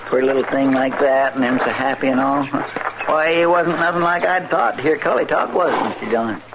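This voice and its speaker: An actor playing an eager, good-natured frontier sidekick with a homespun rural American accent in a 1950s radio western. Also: male voice, mid-range voice, a little fast, lightly acted. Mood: cheerful, eager. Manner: folksy, homespun. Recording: noisy vintage 1950s radio recording.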